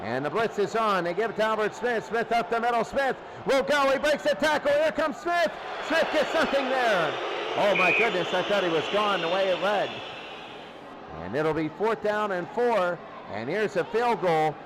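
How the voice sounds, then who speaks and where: High-pitched male football announcer over loud noise. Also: moderate pace. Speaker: play-by-play sports announcer. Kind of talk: American football radio commentary. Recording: loud steady noise behind the voice.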